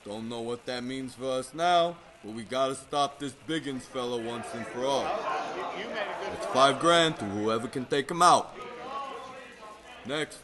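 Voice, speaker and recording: A man's voice: mid-range voice, measured pace, lightly acted. A man playing a brash, bullying gangster boss in an old-time radio drama. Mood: commanding. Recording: crowd murmur behind.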